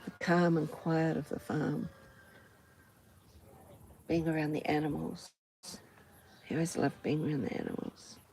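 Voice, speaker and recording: Low, creaky-voiced New Zealand woman, musing. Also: fairly even intonation, a little mellow, a little hiss or hum, rough recording. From Auckland, New Zealand. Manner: musing, unhurried, pausing between short phrases. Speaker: host of a personal ramble vlog. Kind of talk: unscripted reflective outdoor ramble. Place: outdoors on a farm doorstep. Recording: outdoor ambience, buzzing flies and insects. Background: flies, insects, farm animals.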